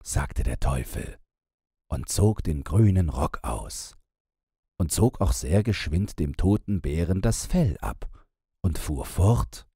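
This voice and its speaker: Deep, very husky male storyteller. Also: animated, slow, dark tone, dry recording, studio quality. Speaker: audiobook narrator. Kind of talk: scripted bedtime fairy-tale narration.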